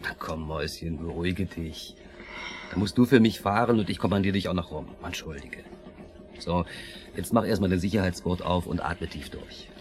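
An actor playing an impatient, bossy husband in a German crime radio drama, agitated and complaining. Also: male voice, deep voice, normal speed, darkish tone, a little hiss or hum, lightly acted. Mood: rattled, placating. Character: excitable, impatient, nagging husband in the passenger seat.

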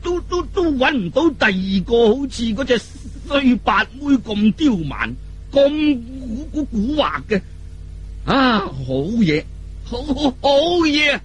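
A man's voice: extremely high pitch, very animated, husky, soft background music, pitched above the performer's own voice, full character voice. A man voicing a boastful, contrary old martial artist with a heavy stutter, blustering and drawing out his words in a storybook telling. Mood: excited, contrary. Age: older. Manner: blustering, drawn-out delivery.

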